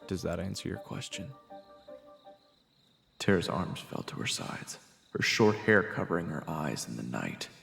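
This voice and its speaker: An echoing, reverberant low male voice, acted by a man, gravelly and grave, narrates a fantasy audio drama as a wary investigator. He delivers scripted storytelling over music, with long reverb trailing the words. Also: big pitch swings, unhurried, long pauses, fairly bright tone, heavily acted. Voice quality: deep, gravelly.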